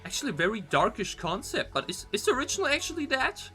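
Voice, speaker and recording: A man hosting a reaction video, giving casual, enthusiastic commentary in an excitable, exclamatory way. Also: very high voice, fast. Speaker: YouTube reaction host. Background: music.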